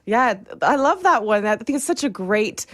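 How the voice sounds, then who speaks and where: A woman's voice: fairly high pitch, expressive, normal speed, fairly bright tone, no room sound, clean studio sound. A woman chatting casually as a guest in a radio interview. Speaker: guest, graphic designer.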